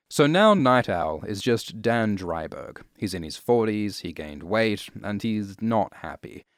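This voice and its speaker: A man's voice: on the low side, no room sound, clean studio sound. A man narrating a scripted video essay in a matter-of-fact, casually irreverent way.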